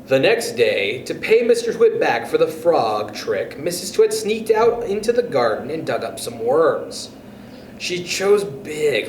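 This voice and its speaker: A man reading a children's story aloud as a storyteller, in an animated, expressive way. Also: a little high, recorded from a distance. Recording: roomy echo with faint background noise.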